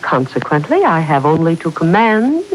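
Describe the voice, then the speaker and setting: An actress playing a mysterious, commanding woman with formal, deliberate speech in a 1940s radio serial. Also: low voice, very animated, poor audio. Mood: cool, commanding. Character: mysterious, commanding woman who claims controlling powers. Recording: hissy 1940s radio broadcast.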